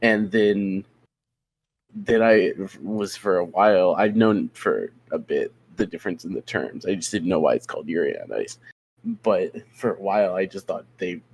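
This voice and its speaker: A young man with a lowish voice co-hosts a casual podcast chat. He rambles through stories in a self-deprecating way and keeps repeating "yeah, yeah.". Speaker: podcast co-host.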